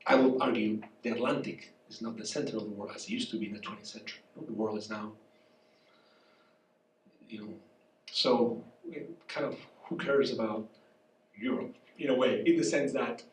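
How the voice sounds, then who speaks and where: Spanish-accented man, congested, soft and halting, miked from afar in an echoey café with faint chatter behind. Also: lowish voice, steady tone, a little hiss or hum. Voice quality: soft, weak voice. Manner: halting, searching for words, apologetic. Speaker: guest professor. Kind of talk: public conversation, reflective lecture talk. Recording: echoey room from far off.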